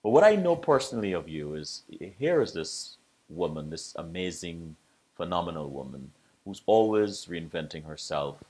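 A male host with a low, deep voice, talking in a measured, unhurried way as he welcomes a guest. He sounds like he is in a small home studio, close to a desk mic. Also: sing-song, a little mellow, husky. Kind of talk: interview show. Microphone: desk microphone, video call.

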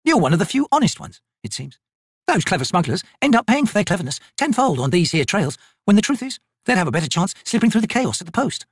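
Audiobook role: brisk, teasing female corporal, read by a man, raised pitch, rough-edged tone. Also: big pitch swings, dry recording, studio quality, full character voice. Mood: brisk, wry. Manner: blunt, teasing, commanding. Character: tough, brisk woman soldier, a blunt corporal. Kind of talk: audiobook character voice.

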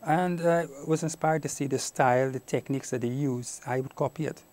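A man's voice: medium pitch, a little fast, darkish tone. A middle-aged man from Guyana with a Caribbean accent, giving reflective answers as an interview guest. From Guyana, later Trinidad and Tobago. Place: small indoor TV studio. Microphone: lapel mic.

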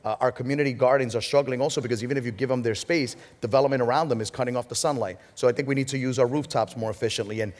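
A man in his forties from Puerto Rico, speaking on a discussion panel and telling stories in an animated way. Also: mid-range voice. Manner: rapid, animated storytelling. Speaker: panelist, state legislator. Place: large hall stage. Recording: reverberant hall.

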